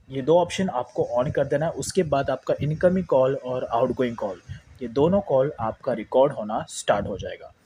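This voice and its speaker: Indian male tutorial host, mid-pitched and soft-edged, casual step-by-step explainer mixing in English, faintly noisy ambience. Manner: repetitive explaining with English words mixed in.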